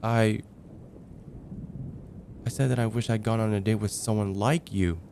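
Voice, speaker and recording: A man playing a lovestruck young heartbreaker friend in an ASMR roleplay, speaking in an earnest, halting, stammering way. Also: lowish voice, fairly even intonation, gravelly, darkish tone, slight background noise. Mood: flustered, resigned. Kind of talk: ASMR roleplay, intimate dramatic monologue.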